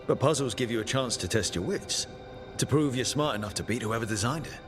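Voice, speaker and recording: A man voicing a curious adventurer leader who asks calm, measured, probing questions in a game cutscene. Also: mid-range voice, music in the background, lightly acted. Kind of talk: video game cutscene dialogue.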